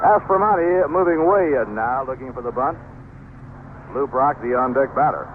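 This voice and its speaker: A man's voice: mid-range voice, normal speed, narrow, tinny audio. A man with an American accent calling a baseball game play by play in live radio commentary. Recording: noisy, band-limited old radio broadcast.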